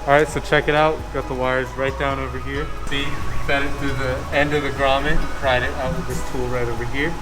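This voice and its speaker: A man's voice: medium pitch, flat delivery, brisk, hardly any pauses, loud music behind the voice, rough recording. A young man chatting casually and enthusiastically in slangy speech as he hosts a car vlog. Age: young adult. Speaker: car vlogger, host.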